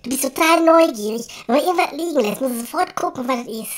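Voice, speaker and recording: Someone playing a high-voiced, cheeky blue fairy. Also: lively intonation, fast. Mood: teasing. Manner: colloquial, teasing, groaning and sighing. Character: cheeky centuries-old blue fairy, chatty and bossy. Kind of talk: comedic audio play dialogue.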